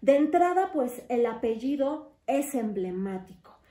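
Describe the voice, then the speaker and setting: A woman's voice: sits high, very animated, measured pace, mellow. Young woman host, slightly distant and echoey. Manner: thoughtful, long winding questions. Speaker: interview host. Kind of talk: conversational interview. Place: studio room with wood panels and glass. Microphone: desk mic on stand. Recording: echoey room, mic at a distance.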